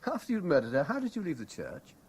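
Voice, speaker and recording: An actor playing an obsessed, lovelorn British man in a 1970s radio drama, speaking with intense, deliberate theatrical delivery. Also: on the high side, wildly swooping pitch. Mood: grim, accusing. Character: obsessed lovelorn man piecing together a betrayal. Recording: noisy old radio broadcast recording.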